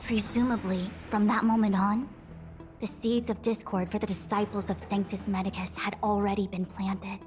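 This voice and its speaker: A woman plays a young female general from a video game, dignified and authoritative. Her pitch sits in the middle of the female range, and her delivery is formal, eloquent and measured. Music plays behind her. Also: little pitch movement, darkish tone, lightly acted. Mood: grave, analytical. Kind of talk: video game character dialogue.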